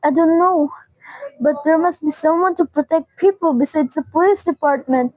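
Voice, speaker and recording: Young woman acting a high-voiced, frantic, imperious wife. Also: normal speed, darkish tone, dry recording, lightly acted, low-quality recording. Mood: desperate, pleading. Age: teenager. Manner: indignant, haranguing, repeats phrases. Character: frantic, imperious bedridden wife, nervous and demanding. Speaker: student performer. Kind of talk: amateur radio drama acting.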